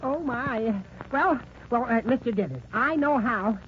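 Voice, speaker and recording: A hapless American office underling in old radio comedy style. He dithers and stammers sheepishly. The sound is dull and clearly noisy, like an aged broadcast recording. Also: very high voice, lively intonation, measured pace. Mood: sheepish, nervous. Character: bumbling, sheepish young husband and office underling. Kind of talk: 1940s radio sitcom acting.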